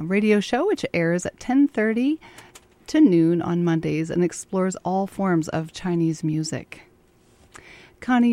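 A woman hosting a community radio interview show, introducing her guest in a measured announcer delivery from the studio. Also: mid-range voice, warm tone, no room sound.

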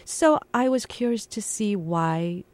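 A woman from Shanghai, China, speaking haltingly and reflectively as a guest on a radio interview, recorded in a radio studio. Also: medium pitch, animated, a little mellow, no room sound. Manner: halting, reflective, many pauses and restarts.

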